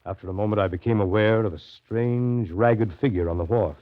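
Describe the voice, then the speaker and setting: An actor playing a weary, sardonic steamboat captain in a 1930s radio drama, with a dry, literary, brooding delivery. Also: male voice, lowish voice, brisk, natural voice. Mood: brooding narration. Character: weary, sardonic male steamboat captain telling his tale. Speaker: actor, narrator. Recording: muffled, noisy 1930s radio recording.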